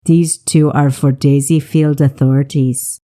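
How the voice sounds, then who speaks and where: A woman voicing a calm, shrewd male ship captain who gives measured, authoritative orders in an audiobook. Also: deep voice, pitched below the performer's own voice. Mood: stern, commanding. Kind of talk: audiobook character voice.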